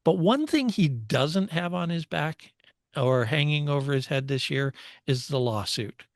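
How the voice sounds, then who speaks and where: A man's voice: fairly high pitch, lively intonation, a little slow, no room sound. Man casually co-hosting sports talk, dry studio sound. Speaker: motorsport show co-host.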